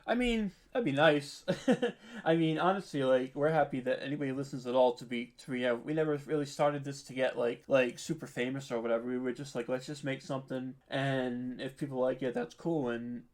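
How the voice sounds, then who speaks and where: A man's voice: mid-range voice, fairly quick. A man speaking as a podcast guest in casual, informal interview chat, hesitant and modest about himself. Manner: hesitant, self-deprecating, informal. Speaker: podcast guest, audio drama writer and producer.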